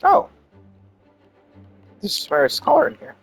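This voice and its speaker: A man's voice: measured pace, faint music behind. A man giving casual gameplay commentary, chatting and thinking aloud in a rambling way. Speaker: game streamer.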